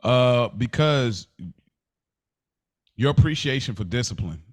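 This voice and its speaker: A man's voice: mid-range voice, animated, slow, no room sound. An American man chatting casually as a podcast co-host. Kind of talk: casual conversational podcast talk.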